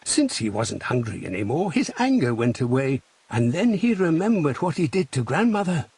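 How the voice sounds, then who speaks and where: Lively man narrating a children's story. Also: on the high side, moderate pace, breathy edge, no echo. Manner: expressive, dramatic storytelling.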